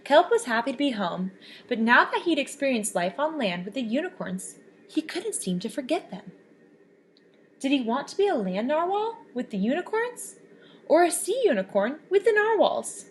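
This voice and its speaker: A woman reading a children's picture book aloud with expressive, animated storytelling. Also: high-pitched, normal speed, breathy edge. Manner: expressive, lively storybook voices.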